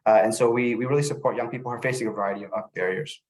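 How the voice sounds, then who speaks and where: A middle-aged man, born in El Salvador and raised in North America, giving an informal webinar presentation in a halting way, often repeating words as he goes. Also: mid-range voice, fairly even intonation, poor audio. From born in El Salvador, grew up in North America. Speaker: webinar presenter, youth services manager.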